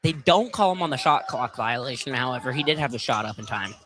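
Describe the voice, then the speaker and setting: A man's voice: mid-range voice, animated, fast talker, background noise. A man giving casual live color commentary on a basketball game from inside a field house.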